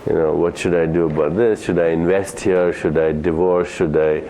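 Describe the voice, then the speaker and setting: A man with a low, mellow voice talks informally as a spiritual teacher. He is deliberate and halting, pausing between short phrases. Faint room noise sits behind him. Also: fairly even intonation. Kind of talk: informal talk, summarizing points to an audience.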